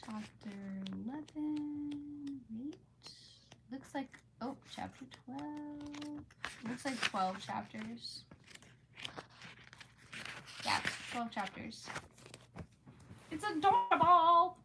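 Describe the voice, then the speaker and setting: A woman's voice: sits high, lively intonation, very slow, pauses often. A woman streamer who reads storybooks aloud and chats casually with viewers in an informal, gushing, rambling way. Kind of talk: storybook read-aloud and casual livestream chat. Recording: clearly noisy background.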